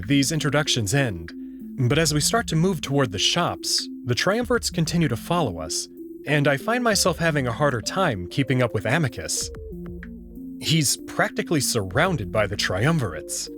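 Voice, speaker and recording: A man reading first-person story narration from a script. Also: medium pitch. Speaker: narrator reading a visual novel aloud. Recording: music playing behind the voice.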